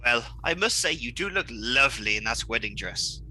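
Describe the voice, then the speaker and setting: A melodramatic villain from a comedy radio play, pompous and mocking. His voice is very bright and sharp, swooping widely in pitch, with faint noise behind it. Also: lively intonation, moderate pace, poor audio. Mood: smug. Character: gleeful, scheming melodramatic male supervillain, Mister Munge.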